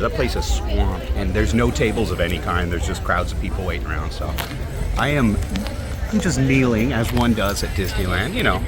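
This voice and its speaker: A soft-spoken man chatting casually to camera as a vlogger, rambling and laughing softly while kneeling in a crowded outdoor park. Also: fairly low pitch. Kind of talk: casual vlog chat. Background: loud crowd noise and park music.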